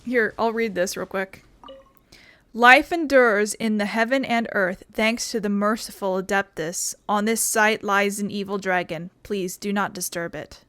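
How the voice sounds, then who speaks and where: A woman's voice: medium pitch, steady tone, unhurried, a bit bright, no room sound. A woman giving casual, wry let's play commentary and reading game text aloud. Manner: informal, wry. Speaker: game streamer. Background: game music.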